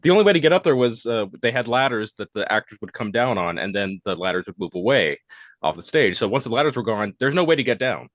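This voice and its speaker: A man's voice: mid-range voice, sing-song, fast talker. A man, a stage manager and conductor, chatting casually with lots of ums and restarts. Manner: hesitant, many ums and restarts. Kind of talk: casual chat.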